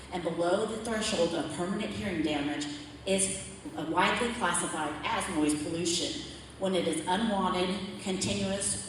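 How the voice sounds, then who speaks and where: A low-voiced woman walks a community forum through her slides, explaining step by step and checking in with the room. She sounds far from the mic, her voice carrying through a big, echoing hall with a long reverb tail. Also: slight background noise. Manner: plain explanatory, asks "okay?" to check in. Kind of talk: public presentation with slides. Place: large echoey meeting hall.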